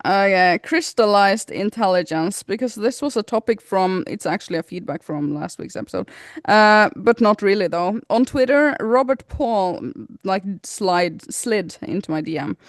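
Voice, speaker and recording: A woman's voice: medium pitch, animated, normal speed, dry recording, studio quality. Giggly woman chatting. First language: Norwegian. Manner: giggly, playful. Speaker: podcast co-host. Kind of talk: casual chat.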